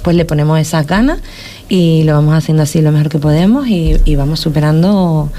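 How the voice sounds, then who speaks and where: A woman from Spain hosting a community radio show, with a low, mellow voice. She talks in a reflective, casual way. She often restarts her words and checks in with her co-host. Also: a little fast. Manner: thoughtful, repeats words and adds tag questions. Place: local radio studio.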